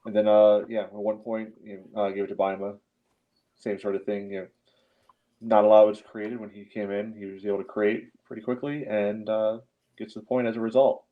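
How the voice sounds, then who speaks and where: A man's voice: fairly low pitch, little pitch movement, moderate pace. A man co-hosting a sports podcast in casual post-game chat, on a slightly dull lo-fi recording. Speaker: podcast co-host.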